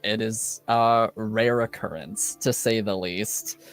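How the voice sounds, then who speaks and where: Male game master, unhurried and hesitant delivery, mid-range voice, casual tabletop chat over faint music. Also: lowish voice. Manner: halting, hesitant, thinks aloud.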